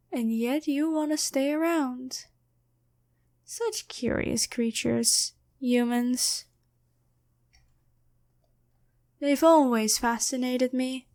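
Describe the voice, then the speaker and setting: Woman acting as a slow, high-voiced taunting female demon. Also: a little mellow. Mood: curious, amused. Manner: slow, teasing, coolly bargaining. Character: taunting female demon possessing a girlfriend's body. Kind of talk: ASMR fantasy roleplay.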